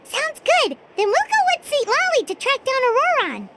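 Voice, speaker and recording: A woman's voice: wildly swooping pitch, moderate pace, very noisy, exaggerated voice. A woman voicing a small, perky, childlike floating companion in a video game, eager and loyal. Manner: perky, squeaky, exclamatory. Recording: loud background music.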